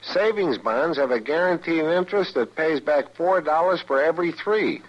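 A hapless, earnest husband in a domestic radio comedy. His voice is a little high and swings about as he pleads. The recording is dull and dated. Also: lively intonation, moderate pace. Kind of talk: old-time radio domestic comedy sketch.

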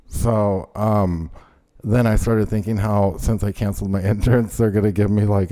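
A man's voice: low voice, mellow. A man hosting a casual talk show over an internet call, speaking informally with some swearing. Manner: informal, profane. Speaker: host of a talk podcast. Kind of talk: casual chat. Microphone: remote internet call.

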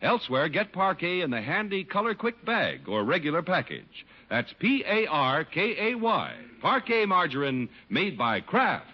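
A man's voice: on the high side, wildly swooping pitch, a little slow, few pauses. A man with an American accent giving a polished, emphatic scripted read as a 1950s radio announcer. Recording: muffled old radio broadcast. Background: music.

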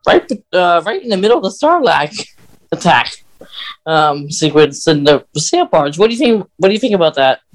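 A podcast host chatting casually, giving opinions in a hesitant, halting way. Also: medium pitch, very animated, rough recording. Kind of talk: casual film-fan chat.

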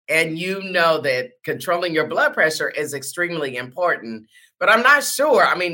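A woman's voice: deep voice, bright, forceful tone. A woman hosting a conversational interview, responding to her guest with sympathy. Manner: sympathetic, reactive. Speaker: show host, interviewer.

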